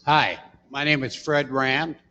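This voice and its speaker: A man's voice: mid-range voice, steady tone, takes it slowly, pushed and bright, big-room sound, dull audio. A man from Missouri introducing himself as a board candidate in a folksy manner with "uh" fillers. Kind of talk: self-introduction speech.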